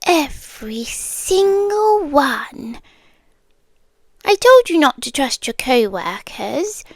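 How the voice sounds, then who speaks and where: A woman's voice: sits high, very animated, no room sound, studio quality, heavily acted. A British woman playing a possessive yandere girlfriend in an intimate ASMR roleplay, speaking with coolly patient, condescending menace and dropping toward whispers. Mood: controlled, taunting. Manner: slow, deliberate, dropping into whispers, condescending sweetness.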